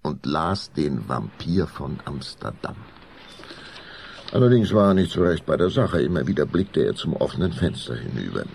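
Male radio-play narrator: very gravelly, animated intonation, scripted storytelling, faint background noise. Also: rumbling low.

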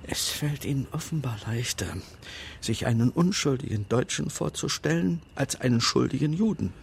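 An actor playing a frail old lawyer in a radio play, guarded and sardonic, speaking in a measured, formal and pointed way. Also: male voice, mid-range voice, expressive, gravelly, slight background noise. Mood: stern, pointed. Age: older.

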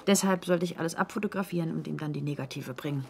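An actress playing a resourceful, determined young woman journalist in a German radio drama, brisk and matter-of-fact. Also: low-pitched, gravelly. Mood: secretive, determined.

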